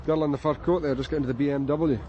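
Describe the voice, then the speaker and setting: An actor playing a steady, businesslike police detective inspector in a radio drama, clipped and dry, with a Scottish accent. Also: male voice, fairly high pitch, very fast, highs cut off, close to an everyday voice. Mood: dry, probing. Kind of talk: naturalistic radio drama acting. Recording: faint urban street noise.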